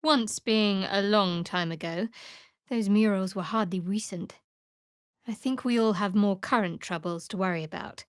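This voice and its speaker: An actress playing a guarded, sardonic young female cleric of a dark goddess in a video game, speaking with dry, wry, clipped sarcasm. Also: mid-range voice, normal speed, clean tone, no echo, lightly acted. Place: dry studio recording.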